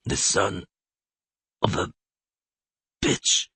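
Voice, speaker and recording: A man voicing a gravely wounded hired killer who grunts out his last words. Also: drawn-out words, pushed and bright, no room sound. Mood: gasping. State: weak, dying. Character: dying adult male hired killer, gasping.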